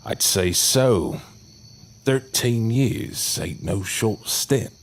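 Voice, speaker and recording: Deep, slow, theatrical actor as a effusive man. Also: animated, full character voice. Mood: grand, wistful. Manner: flamboyant, wordy, old-fashioned turns of phrase. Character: effusive, theatrical long-lost brother welcoming siblings to a mansion. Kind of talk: audio drama acting.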